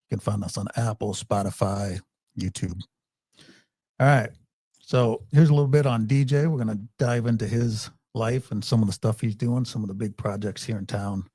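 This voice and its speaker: Brief: male podcast host, lowish husky voice, mellow, upbeat, dry studio sound. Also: dry recording. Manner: upbeat, reads bio notes with fillers. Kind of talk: podcast interview hosting.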